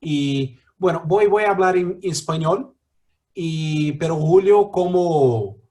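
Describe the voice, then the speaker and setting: Male moderator, Brazilian accent, slightly high voice, halting delivery full of fillers, video call. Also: a little mellow. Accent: Brazilian Portuguese. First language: Portuguese. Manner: halting, many fillers and restarts. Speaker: mediator. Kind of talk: introducing a guest speaker.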